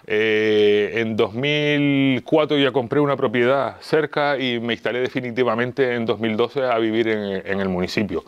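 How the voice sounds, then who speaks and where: A fifty-year-old man with a Canarian Spanish accent, explaining things as an interview guest, haltingly, searching for words and repeating phrases. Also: medium pitch, clean tone, muffled recording. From Las Palmas, Canary Islands. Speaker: interview guest, local police officer and heritage enthusiast. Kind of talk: interview answers, explanatory talk.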